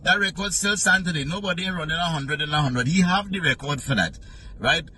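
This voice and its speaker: Man, Trinidadian accent, animated storyteller, tense bright tone, distant mic. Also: brisk, slight background noise. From Trinidad and Tobago. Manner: animated, playfully exaggerating, rising pitch for punchlines. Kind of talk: humorous travel storytelling.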